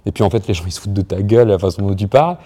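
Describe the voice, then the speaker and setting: A man's voice: fairly low pitch, very fast, mellow. A young man, a writer from France, talking about language in an interview, hesitant with many restarts and fillers. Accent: neutral Parisian French. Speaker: writer and poet.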